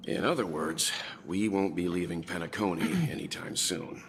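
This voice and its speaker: A man putting on a grave, very deep-voiced companion. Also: lively intonation, normal speed, slight background noise. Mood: serious.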